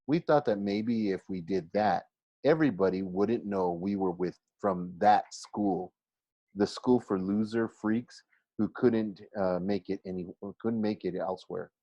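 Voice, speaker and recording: A man reading a children's novel aloud like a teacher to a class, expressive but halting, often going back over phrases. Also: lowish voice, no echo, lo-fi sound. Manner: halting, stumbles and rereads phrases.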